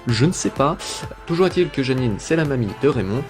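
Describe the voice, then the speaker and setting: A man's voice: mid-range voice, expressive, a little fast, faint music behind. A man reading scripted narration for a video essay presenting cartoon characters.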